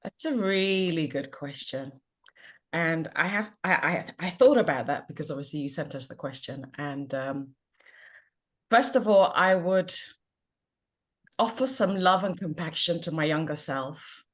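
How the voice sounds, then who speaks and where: Lowish-voiced confidence coach from Ghana. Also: female voice, animated, a little slow. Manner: reflective, earnest and upbeat, laughs at herself. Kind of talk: guest on an online panel chat.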